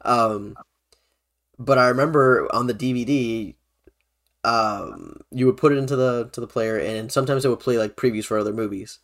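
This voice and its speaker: A man's voice: mid-range voice, normal speed. A young man hosting a podcast, chatting casually about films. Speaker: podcast host.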